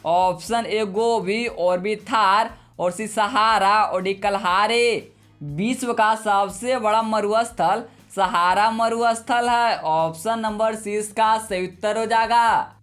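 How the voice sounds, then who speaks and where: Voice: mid-range voice, steady tone, slow pace, a bit bright. A quiz teacher reading exam questions and answers in a methodical, repetitive, scripted way. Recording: music bed behind the voice. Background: background music.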